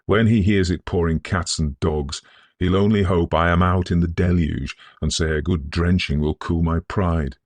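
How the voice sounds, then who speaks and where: A man voicing a proud, emotional young Victorian gentleman in an audiobook, genteel and impulsive. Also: sits low, steady tone, normal speed, dry recording. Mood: bitter, wounded.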